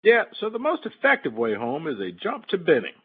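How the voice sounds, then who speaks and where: A man playing a glib, sleazy shuttle pilot in a game-based audio drama, smooth-talking like a salesman. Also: on the high side, wildly swooping pitch, fairly quick, fairly bright tone, exaggerated voice, poor audio. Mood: falsely reassuring. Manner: smooth-talking, falsely reassuring salesman patter. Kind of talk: fan audio drama, game-story character acting.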